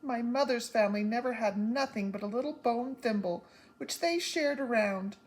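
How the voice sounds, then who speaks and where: A woman's voice: moderate pace, lightly acted, low-quality recording. A woman voicing a fretful, tearful tiny mother. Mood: worried. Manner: fretful, high and emphatic.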